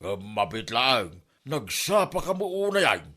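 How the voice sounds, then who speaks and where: A man's voice: medium pitch, big pitch swings, unhurried, pushed and bright, heavily acted. A man playing an older, teasing village uncle with an Ilocano accent in a comedy drama. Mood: curious.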